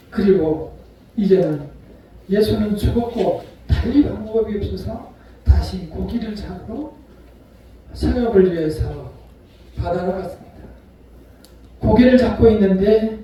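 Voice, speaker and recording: A male pastor with a high, dark-toned voice tells the story like a dramatist, acting out the voices in it. He rises loud, then drops almost to a murmur. He is heard from far off in a strongly echoing church sanctuary, with faint noise behind. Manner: storytelling, acts out quoted voices; swings between loud and soft. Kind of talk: sermon. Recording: echoey hall from far off.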